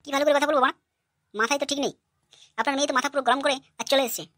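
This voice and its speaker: A brash, hot-tempered young son-in-law in a cartoon comedy, played by a woman, rants at breakneck speed. The voice is fairly high and very dry, full of grievance. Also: fairly even intonation, racing, fairly bright tone, dry recording. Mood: angry, ranting. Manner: brash, ranting.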